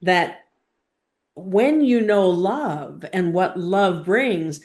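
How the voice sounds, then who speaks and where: A woman leading a spiritual teaching session, speaking in deliberate, halting phrases with emphatic pauses and guiding questions. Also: lowish voice, a little mellow.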